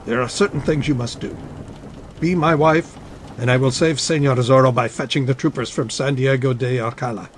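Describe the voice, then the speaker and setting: A man playing a scheming army captain in a dramatic audiobook, speaking formally with smooth menace. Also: mid-range voice, fairly even intonation, normal speed, a little hiss or hum, natural voice. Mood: calculating, bargaining. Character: scheming, coercive male army captain, a suave villain. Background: ambience under the voice.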